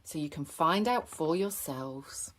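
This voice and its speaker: A woman's voice: low-pitched, expressive, takes it slowly, a bit bright, heavily acted. A woman voicing a kindly gazelle schoolteacher in a children's story, explaining patiently and encouragingly. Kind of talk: children's storybook character read aloud.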